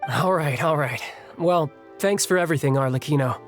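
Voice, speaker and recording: Actor as cheeky young male warrior, higher voice, breezy, frank, protective, game dialogue, background music. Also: moderate pace, natural voice. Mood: friendly, breezy. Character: brash, cheeky young male fighter, friendly but protective. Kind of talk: video game voice acting. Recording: clean studio voice over game music.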